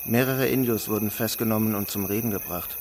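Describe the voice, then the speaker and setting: A man's voice: fairly low pitch, fairly quick, slight background noise, close to an everyday voice. An actor playing a grave man in his mid-thirties who reports in a terse, matter-of-fact way in a radio drama.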